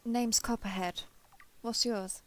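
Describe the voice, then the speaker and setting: A woman playing a giant friendly alien robot soldier in an audio roleplay, gentle, reassuring and a little awkward with the listener. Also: a little high, fairly even intonation, slow. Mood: friendly, earnest. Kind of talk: scripted roleplay audio drama addressed to listener.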